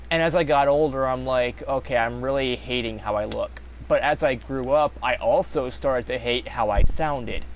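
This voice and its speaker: A young man vlogging in a casual, confessional monologue, rambling with many fillers and restarts, his voice slightly raspy. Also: mid-range voice, slight background noise, rough recording. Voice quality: slightly raspy when talking long.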